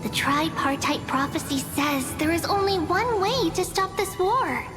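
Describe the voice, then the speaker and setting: A very high, childlike girl's voice with music underneath. She is chirpy and earnest, a little game character who narrates in a sing-song way, as if teaching younger listeners. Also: unhurried. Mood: earnest, dramatic. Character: small chirpy girl storyteller, cheerful and earnest. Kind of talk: animated game voice acting.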